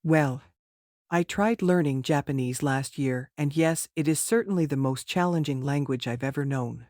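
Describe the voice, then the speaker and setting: Slightly high-voiced guy reading practice exam answers, formal and rehearsed, dead dry sound. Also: darkish tone, no echo. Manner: formal, rehearsed, measured delivery. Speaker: test candidate. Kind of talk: scripted mock speaking-test answers.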